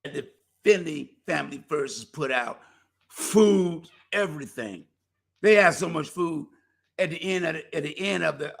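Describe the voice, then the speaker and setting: A man's voice: on the high side, gravelly. A man hosting a community talk show alone, talking in a folksy, preacherly way and losing his voice. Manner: folksy, preacherly, animated exhortation. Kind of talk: casual solo talk show promotion.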